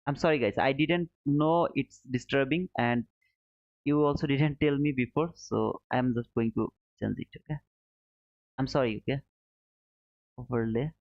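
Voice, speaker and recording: Casual male livestream host, friendly and chatty, mid-pitched, on a dull dry mic. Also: moderate pace, pauses often, dry recording. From Bangladesh. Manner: friendly and chatty, calls viewers brother, says boom. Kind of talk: casual live-stream commentary, reading viewer chat. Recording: dull, slightly muffled, dry.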